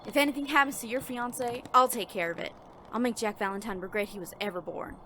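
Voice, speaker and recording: A woman's voice: on the high side, steady tone, fast, pushed and bright, slight background noise. A woman playing an earnest, nervous young deputy in a Western audio drama. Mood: earnest, worried. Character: loyal, anxious young deputy, eager kid.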